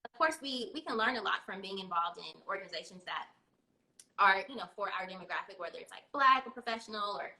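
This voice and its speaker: A woman's voice: medium pitch, lively intonation, fast, pushed and bright. A woman in her thirties, a guest on a casual video-call interview, chatty and laughing often, with many fillers. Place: home room. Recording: echoey room on a video call.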